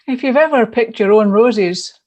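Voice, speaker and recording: A woman reads a prepared devotional in a voice pitched a little high. She sounds earnest and puts emphasis into the lines as a church reader. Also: normal speed, darkish tone. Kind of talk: scripted devotional reading.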